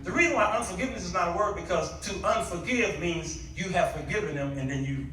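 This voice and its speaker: A high-voiced man delivers an impassioned church sermon, urging his listeners on with pointed questions. The sound is heavily reverberant and picked up from a distance, with a congregation audible around him. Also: a crowd in the background. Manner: exhorting, punctuating points with pauses and rhetorical questions. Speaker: guest preacher. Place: large echoey indoor church with congregation. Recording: echoey church, distant mic, congregation responding.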